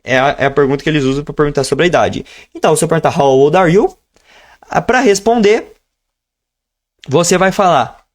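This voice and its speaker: A man teaching a live online lesson, explaining at a relaxed pace and stretching out example phrases slowly so learners can follow. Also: a little high. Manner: switches between teaching tone and slow, drawn-out modeled phrases. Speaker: English teacher.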